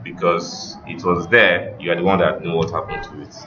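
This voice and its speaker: Male tech instructor, very low unhurried voice, walking learners through steps; muffled recording with clear background noise. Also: flat delivery. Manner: instructional, directing students, checking on them. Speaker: web development instructor. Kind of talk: step-by-step live coding lesson.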